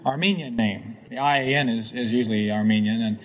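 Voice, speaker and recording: A man's voice: mid-range voice, fairly quick. An American man in his twenties from California, answering as a contestant in a polite, earnest, modest way. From Hollywood, California. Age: 20s. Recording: faintly noisy 1950s radio recording.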